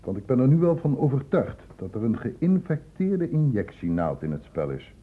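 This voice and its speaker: An actor playing a grave, authoritative male doctor in a radio drama, speaking formally and deliberately. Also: mid-range voice, very animated, breathy edge. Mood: grave, concerned. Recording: narrow, noisy old radio recording.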